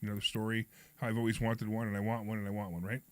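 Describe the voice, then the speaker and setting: Hoarse fast-talking man hosting a show. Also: lowish voice, dry recording. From Pennsylvania. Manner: rambling, animated storyteller. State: hoarse, froggy voice. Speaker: radio show host. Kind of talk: casual storytelling chat.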